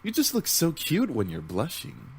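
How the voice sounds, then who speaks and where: Breathy, seductive teasing incubus man. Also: a little high, wildly swooping pitch, full character voice. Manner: teasing, seductive drawn-out phrasing. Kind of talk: visual novel character acting.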